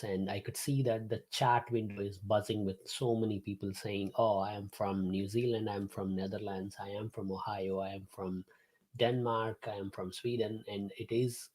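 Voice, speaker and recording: A man's voice: lowish voice, a little mellow, slightly rough. A man, hosting a live-streamed class from his home office, chatting to viewers with many fillers and restarts. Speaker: host and instructor.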